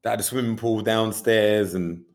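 Guy talking about his video work on a podcast, chatty and animated, swears a bit, keeps saying you know what i mean. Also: on the low side, moderate pace. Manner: animated, candid, swears casually. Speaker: music video director, guest. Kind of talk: casual interview storytelling.

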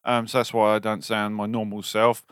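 A man's voice: on the low side, flat delivery, brisk, fairly bright tone, no room sound, studio quality. A man co-hosting a casual podcast, tired after a night shift, rambling with lots of ums and jokes. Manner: rambling, jokey, many ums. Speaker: podcast co-host. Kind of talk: casual chat.

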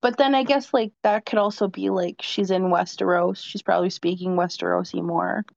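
A woman's voice: lowish voice, steady tone, normal speed, creaky, dry recording, dull audio. A woman co-hosting a casual chat, talking chattily with many fillers. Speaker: podcast co-host.

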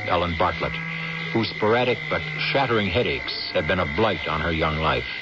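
A man hosting an old-time radio drama with solemn, theatrical, deliberately phrased narration. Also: deep voice, animated, heavy background noise. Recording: dull old radio broadcast under loud music.

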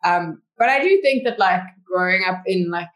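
A woman's voice: lowish voice, expressive, normal speed, slight background noise, rough recording. A woman with a South African accent, a podcast guest telling stories in a chatty way with lots of fillers. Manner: chatty storyteller, many "like" fillers. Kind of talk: casual interview chat.

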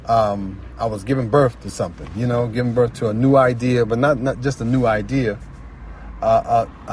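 A man's voice: mid-range voice, a little hiss or hum. A man speaking as a writer and storyteller in earnest, reflective personal talk, repeating phrases for emphasis.

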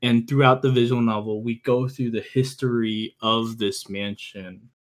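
A man speaking as a podcast guest, earnest and opinionated, often halting as he searches for words in casual chat. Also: medium pitch, fairly even intonation. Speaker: podcast guest, visual novel enthusiast.

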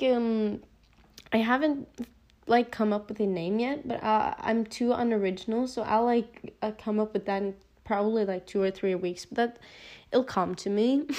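A woman's voice: fairly high pitch, darkish tone. Rambling Norwegian teen girl podcaster. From Norway. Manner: rambling, filler-heavy, giggly asides. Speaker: podcast host. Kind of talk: casual solo podcast rambling.

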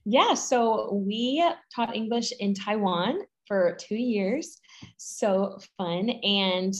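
A woman in her thirties, raised in Guatemala and Mexico, chatting as a podcast guest, bubbly and rambling with frequent laughter. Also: medium pitch. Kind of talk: casual interview chat.

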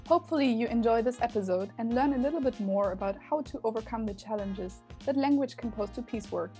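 A woman's voice: fairly high pitch, fairly quick, warm tone, soft background music. A woman co-hosting a podcast, delivering a scripted intro in a friendly, upbeat way. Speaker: podcast co-host.

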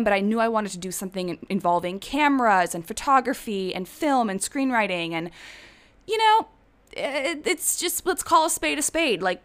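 A woman in her thirties from the Czech Republic with a clear, smooth voice at a middling pitch. She talks like a casual vlogger, rambling candidly through personal stories and swearing now and then. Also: fairly bright tone. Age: 30s. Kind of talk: casual personal storytelling to viewers.

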